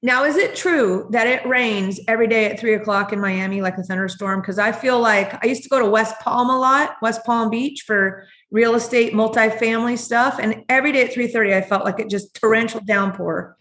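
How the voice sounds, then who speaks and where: A woman's voice: a little high, a bit bright, roomy sound. A woman co-hosting a podcast interview, chatting in an upbeat, casual way over a video call. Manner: chatty, upbeat, quick to laugh. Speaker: podcast co-host.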